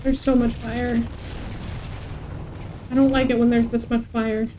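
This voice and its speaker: A woman's voice: on the high side, flat delivery, measured pace, warm tone, poor audio. A woman game streamer, a non-native English speaker, chatting casually and commenting as she plays. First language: not English. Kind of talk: casual livestream commentary and chat. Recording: faint background noise.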